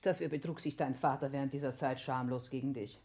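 An actress playing a proud, blunt, wounded mistress in a 1960s German radio play, with intense, reproachful delivery and stage diction. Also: female voice, extremely low pitch, steady tone, fast, a little mellow, slightly rough, heavily acted.

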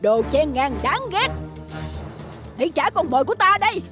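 Woman acting a high, taunting villainous cartoon wolf. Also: sing-song, moderate pace, music in the background. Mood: menacing. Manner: menacing, taunting. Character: gloating, spiteful villainous male wolf. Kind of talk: animated fairy-tale acting.

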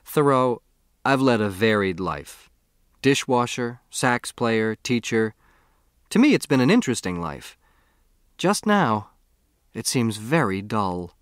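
A man's voice: medium pitch, sing-song, takes it slowly, long pauses, smooth, no room sound, studio quality. A man voicing a good-humoured, easygoing English teacher in an audiobook story. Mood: reflective.

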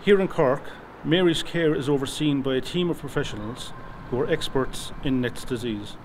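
A man's voice: mid-range voice, normal speed, noisy background. A man introducing himself and his wife's care, speaking plainly outdoors on a lawn. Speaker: patient's husband. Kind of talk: scripted introduction.

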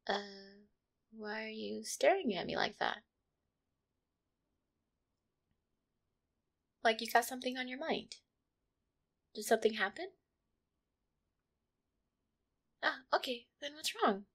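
A woman's voice: a little high, normal speed, creaky. Woman acting a shy, affectionate catgirl girlfriend. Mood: puzzled, probing. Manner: hesitant, soft-hearted, flustered. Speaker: roleplay audio performer. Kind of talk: intimate one-sided roleplay audio acting.

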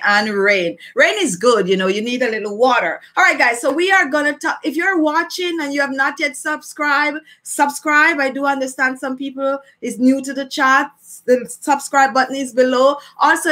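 Bright, high-voiced Jamaican woman chatting. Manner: chatty, emphatic repetition. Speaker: beauty vlogger hosting a live chat. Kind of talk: casual livestream chat to viewers. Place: small furnished room at home, indoors.

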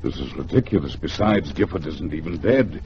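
A man playing a rattled, practical gentleman in a vintage radio drama style. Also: a bass voice, lively intonation, normal speed, pitched below the performer's own voice. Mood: dismissive, uneasy. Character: rattled, practical gentleman goldsmith, reasoning or pleading. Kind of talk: 1950s radio acting. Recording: noisy, dull vintage radio recording.

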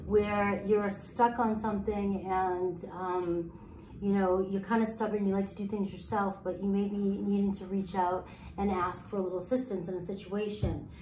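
A woman in her thirties gives a relaxed tarot reading, her voice slightly raspy and sitting at an ordinary middle pitch. She meanders through her points, thinking aloud with plenty of pauses and fillers. The sound bounces around a mostly empty room, with a faint hiss underneath. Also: roomy sound, rough recording. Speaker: tarot reader. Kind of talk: casual tarot reading to camera. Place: sparse room, indoors, seated at floor level.

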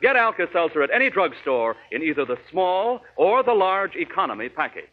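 A man's voice: high voice, very animated, a little fast. An American man reading a scripted commercial as a 1940s radio announcer. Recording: tinny narrow-band 1940s radio recording.

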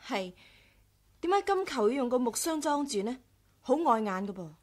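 An actress with a Hong Kong Cantonese accent playing an outspoken, questioning young heroine in a 1980s radio drama. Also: female voice, high-pitched, big pitch swings, normal speed. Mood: curious, questioning.

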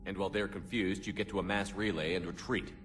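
An actor playing a male starship commander in a video game, speaking in a clipped, calm, commanding way. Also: low-pitched, fast talker, music in the background, natural voice. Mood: steady. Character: male military commander, steady and decisive. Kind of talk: video game cutscene acting.

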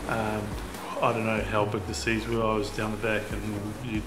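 A young man with a New Zealand accent, chatting casually about a fishing trip, relaxed and full of fillers. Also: fairly low pitch, normal speed, heavy background noise. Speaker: fisherman. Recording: roomy sound with music behind.

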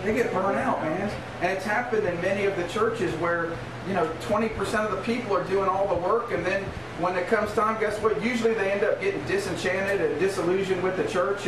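Man, American accent, middle-aged, church sermon, emphatic and conversational, high-pitched, echoey small room. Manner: emphatic, conversational, checks in with rhetorical questions. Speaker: pastor. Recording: roomy, distant mic, noisy background.

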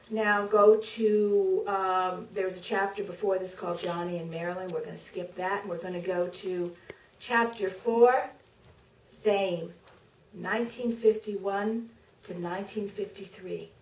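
A middle-aged woman author giving a measured, deliberate staged reading from a podium, with pauses for effect. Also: mid-range voice, steady tone, far from the mic. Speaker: author reading from her book. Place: theater stage, filmed from the audience. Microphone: podium microphone heard through distant camera. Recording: roomy theater, picked up from afar.

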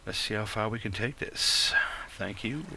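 A man's voice: on the low side, moderate pace, vocal fry, pushed and bright, a little hiss or hum. A man with a light Welsh accent hosting a gaming channel, talking casually and rambling over his gameplay. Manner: rambling and self-mocking, with playful put-on crooning.

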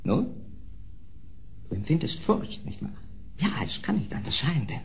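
An actor playing a tormented, melancholy last heir of a decaying noble house in a horror audio drama, agitated and theatrical. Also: male voice, fairly high pitch, big pitch swings, unhurried, gravelly, full character voice. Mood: haunted, uneasy. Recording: faint noise on old audio drama.

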